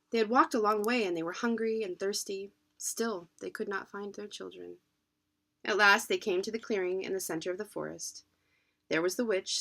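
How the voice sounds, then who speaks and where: Storybook narrator: adult female, medium pitch, expressive read-aloud delivery for children. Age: adult, parent. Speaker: children's book reader.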